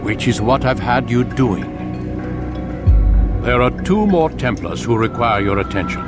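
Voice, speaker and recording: A man playing a calm, commanding old master of assassins in a video game, speaking in a measured, solemn, authoritative way. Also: medium pitch, over loud music, natural voice. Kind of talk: dramatic game cutscene acting.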